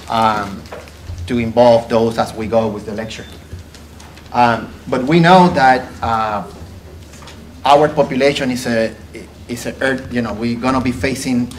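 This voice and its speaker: A man in his thirties from Puerto Rico with a Puerto Rican accent lightly touched by Texas, giving a medical lecture to students in a classroom and often pausing and repeating words. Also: medium pitch, a little hiss or hum, low-quality recording. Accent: Puerto Rican Spanish with some Texas. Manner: halting, repeats words, frequent fillers. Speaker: podiatrist lecturer. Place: classroom with projector screen. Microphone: lapel.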